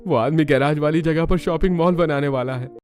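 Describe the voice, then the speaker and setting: A man's voice: on the high side, fairly quick, a little mellow, faint music behind, voice pushed up, lightly acted. A man voicing a young working husband from a poor family in a cartoon story. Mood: dejected.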